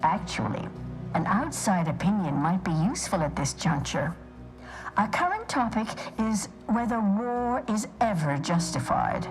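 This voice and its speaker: A woman's voice: medium pitch, lively intonation, measured pace, faint music behind, lightly acted, poor audio. A woman playing a prim, pedantic scholar of a stoic order, speaking formally and precisely in a video game. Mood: prim, measured. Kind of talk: video game dialogue acting.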